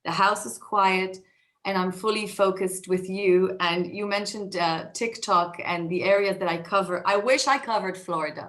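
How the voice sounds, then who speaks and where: A woman in her forties with an Israeli accent, talking about her life on a video call from her home basement, a little hoarse from a cold, reflective, with many fillers and restarts. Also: mid-range voice, fairly even intonation, normal speed, fairly bright tone. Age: 40s. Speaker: guest speaker. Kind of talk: personal talk over a video call.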